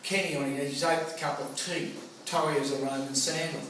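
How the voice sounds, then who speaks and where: A man's voice: fairly high pitch, fairly even intonation, normal speed, a bit bright. A middle-aged Australian man telling a personal anecdote in a colloquial, theatrical way. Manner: colloquial, theatrical, acts out remembered dialogue. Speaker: storyteller. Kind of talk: animated personal anecdote storytelling. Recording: roomy, echoing, noisy distant microphone.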